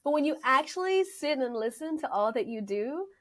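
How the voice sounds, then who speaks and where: Brief: female interview host, energetic and emphatic, pitched a bit high. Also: normal speed. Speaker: podcast host.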